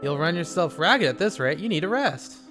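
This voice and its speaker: An offbeat, cheerful young dark mage, voiced by a man, chipper and a little strange. The voice sits slightly raised above ordinary talk. Game music plays behind him. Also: on the high side, big pitch swings, a little fast, pitched above the performer's own voice.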